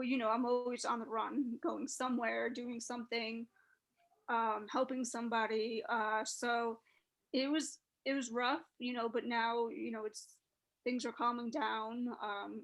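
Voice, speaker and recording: Guest, woman, early 30s, gravelly fry, relaxed chatter. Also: a little high. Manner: chatty, many "you know" fillers. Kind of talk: casual chat.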